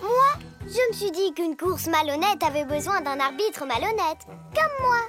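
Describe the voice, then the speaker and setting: A woman's voice: very high voice, lively intonation, normal speed. A woman voicing a vain, greedy, scheming young villainess in a French cartoon, with a haughty, mock-sweet manner. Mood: sly, covetous. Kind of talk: French cartoon dub acting. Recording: loud music behind the voice.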